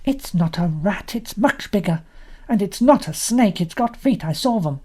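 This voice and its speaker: A woman voicing an excitable, stubborn young girl in a storybook reading, with a breathless high squeal. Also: normal speed, natural voice. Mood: urgent.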